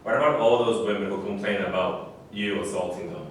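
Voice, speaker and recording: A blunt, moralizing man played by an actor with a lowish voice presses his points seriously. He sounds distant, in a very echoey room at a staged reading. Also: a little fast, slight background noise, close to an everyday voice. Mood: challenging.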